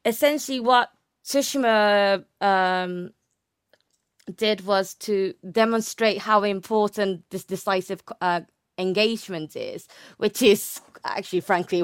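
A woman's voice: medium pitch, fairly bright tone, smooth, no room sound, clean studio sound. A young woman giving a conversational academic explanation as an interview guest, often hedging and correcting herself as she goes. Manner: hesitant phrasing, frequent hedging and self-correction. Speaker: interview guest, naval history researcher.

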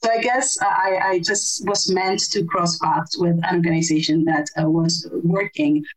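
A woman's voice: lowish voice, animated, normal speed, poor audio. A middle-aged woman from Argentina, a podcast guest answering at length in a thoughtful, conversational way with many fillers and restarts. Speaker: podcast guest, civil society researcher.

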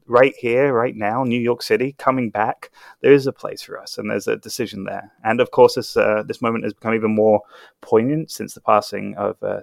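A man's voice: lowish voice, vocal fry, no room sound. A man with a non-American accent, a critic talking casually on a review panel, earnest and hesitant with many fillers. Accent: non-American English.

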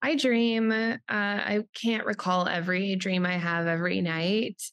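A woman's voice: mid-range voice, fairly even intonation, measured pace, fairly bright tone, no room sound. A woman whose first language is Polish, thinking aloud as a podcast guest and searching for words with many fillers. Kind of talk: podcast interview.

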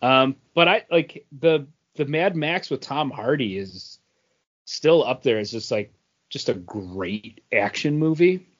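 A middle-aged man co-hosting a podcast, chatting casually about pop culture in a rambling, halting way. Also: medium pitch, animated. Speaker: podcast co-host. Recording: dull lo-fi podcast audio.

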